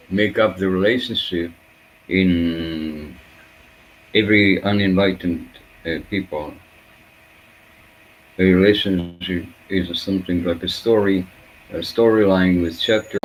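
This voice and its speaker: A man, a Hebrew speaker, giving an unscripted reflective spiritual talk in halting, fragmented phrases with searching pauses. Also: deep voice, a little hiss or hum, rough recording.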